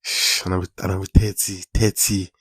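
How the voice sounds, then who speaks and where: A man's voice: sits low, level tone, a little fast, fairly bright tone, husky, no room sound. A man in his twenties hosting a casual solo podcast, rambling, repeating phrases and using informal slang. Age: 20s. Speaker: podcast host.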